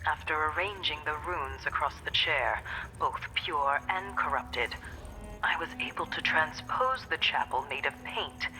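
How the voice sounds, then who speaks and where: A woman voicing a grandiose, scheming witch painter in a video game, speaking deliberately and theatrically like someone savoring her own genius. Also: sits low, animated, pushed and bright, faint music behind, full character voice, rough recording. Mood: grandiose, brooding. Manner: deliberate, theatrical, self-important. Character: grandiose scheming witch painter, coldly obsessed with remaking the world. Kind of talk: dramatic recorded monologue in a video game.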